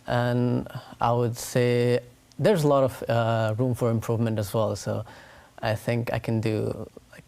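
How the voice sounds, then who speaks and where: A man in his late teens from Nepal, giving measured, careful answers as a guest in a studio interview. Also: medium pitch, little pitch movement, gravelly, darkish tone. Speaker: interview guest, school graduate.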